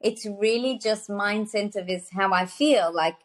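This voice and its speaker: A woman's voice: mid-range voice, moderate pace. A woman in her thirties from South Africa, a nutrition author chatting as a podcast guest, enthusiastic and full of fillers and restarts. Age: 30s. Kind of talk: casual interview conversation.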